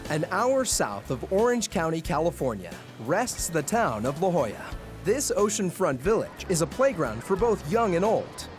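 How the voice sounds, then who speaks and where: Lively male documentary narrator. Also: a little high, normal speed. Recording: music bed under voice-over.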